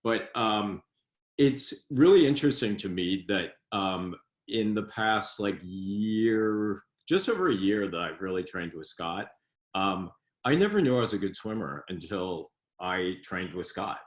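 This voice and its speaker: Guy with a lowish voice, relaxed, kinda self-deprecating, echoey room. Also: unhurried. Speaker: guest athlete. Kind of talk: casual interview chat.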